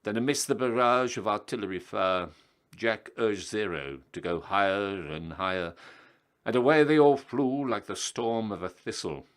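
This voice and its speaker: A man with a low voice reads a rhyming tale as a storyteller. He takes his time and declaims with dramatic, emphatic stresses.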